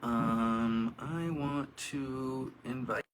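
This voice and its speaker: A man's voice: medium pitch, very slow, a little hiss or hum, low-quality recording. A man hosting a casual livestream interview on a tablet, talking to his audience while he sets up the call. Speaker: show host. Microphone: video call on a tablet.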